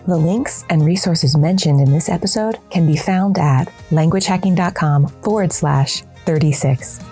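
A woman reading the show's scripted announcement in a smooth read-out delivery. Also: low voice, normal speed, warm tone. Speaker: show announcer. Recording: voice over music.

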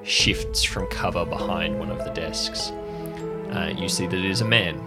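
A man narrates a tabletop roleplaying game as game master. His low voice has a gravelly fry, and he tells the story in a measured, descriptive way over clearly audible music.

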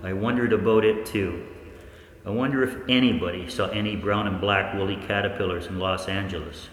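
Male actor as shaken researcher, 1940s radio drama. Low voice, brooding and questioning, in a reverberant space. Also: steady tone, a little fast, a bit bright, close to an everyday voice. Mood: urgent, wondering. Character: shaken male researcher recounting an eerie disaster. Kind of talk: 1940s radio play monologue. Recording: echoey hall with hiss.

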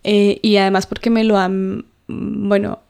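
Colombian woman with a smooth, gravelly voice. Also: medium pitch, little pitch movement, a little slow. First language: Spanish. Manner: thoughtful, with frequent fillers and soft laughs. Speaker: co-host. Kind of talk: casual podcast chat.

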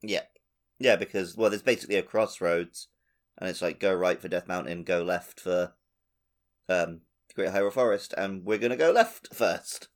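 A man's voice: deep voice, moderate pace. A man co-hosting a gaming podcast, talking in a casual, conversational way. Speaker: podcast co-host.

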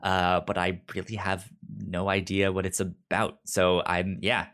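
A man's voice: low-pitched, little pitch movement, measured pace, fairly bright tone. A man co-hosting a podcast in casual, unscripted chat, often stammering and repeating syllables. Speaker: podcast co-host.

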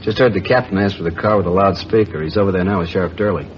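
An actor playing a brisk, businesslike officer in a 1950s radio drama, giving clipped, matter-of-fact reports. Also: male voice, sits low, steady tone, natural voice. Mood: urgent, factual. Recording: muffled old radio recording, faint hiss.

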